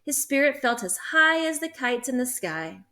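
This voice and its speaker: A woman, a school teacher, reading a children's picture book aloud with expressive, animated storytelling. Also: high voice, moderate pace.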